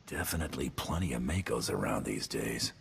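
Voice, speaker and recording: An actor voicing a gruff, laconic male gunslinger in a space video game, dry and flirtatious. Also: rumbling low, expressive, brisk, a little hiss or hum, full character voice.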